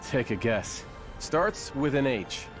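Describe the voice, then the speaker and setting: An actor playing a friendly, confident young male hero in an animated fantasy game, earnest and lightly wry. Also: medium pitch, slow, music in the background.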